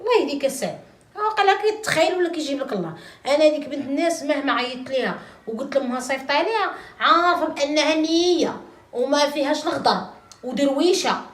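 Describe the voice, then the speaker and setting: A high, lively Moroccan woman rattles off her lines fast, as an actress plays a bossy, mocking wife in a short film. Her laughing, scolding voice rings in a roomy space. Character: sharp-tongued married woman, mocking and bossy. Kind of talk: amateur short-film acting. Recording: roomy echo.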